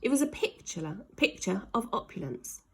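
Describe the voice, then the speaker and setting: Husky woman reading stories very expressively. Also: medium pitch, big pitch swings, moderate pace, darkish tone. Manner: dramatic, emphasises sound words. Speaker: storybook reader. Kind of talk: expressive children's story narration.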